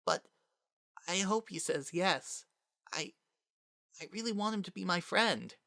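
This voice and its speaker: An earnest young boy acted by a woman, pitched a little low and recorded very dry. He is kind and anxious, speaking in plain, halting phrases within a dramatized story. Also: expressive, vocal fry, a bit bright, no room sound, exaggerated voice. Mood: hopeful, earnest. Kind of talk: dramatic reading of fan fiction.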